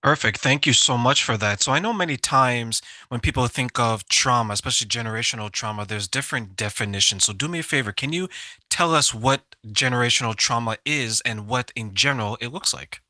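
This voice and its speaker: A man's voice: mid-range voice, brisk, pushed and bright, no room sound. A man hosting an interview, asking friendly, reflective questions. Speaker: show host. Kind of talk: interview conversation.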